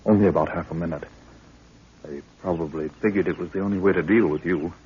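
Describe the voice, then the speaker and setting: A man's voice: low voice, steady tone, quick pace, a little mellow, breathy edge. A man playing an earnest, respectful, anxious young assistant in a 1930s radio adventure. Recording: muffled, noisy old 1930s radio recording.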